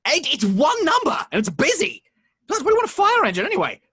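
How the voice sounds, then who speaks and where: Exasperated, cautious man in a film script played by a woman: highish, hugely animated, emphatic, close dry recording. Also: brisk, no room sound, heavily acted. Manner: excitable, emphatic swings. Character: Sean, exasperated, panicky but cautious man. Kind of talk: amateur screenplay table read.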